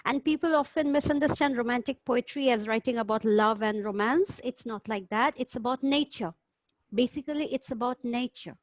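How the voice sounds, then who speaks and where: A woman's voice: fairly high pitch, moderate pace, dry recording, rough recording. A woman taking part in a group discussion, explaining informally in a teacherly way and checking with listeners. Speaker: discussion participant.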